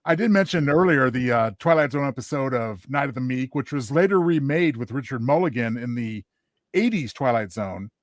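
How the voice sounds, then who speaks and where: A man's voice: mid-range voice, animated, moderate pace, no room sound, clean studio sound. A man co-hosting, talking in casual discussion. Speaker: co-host.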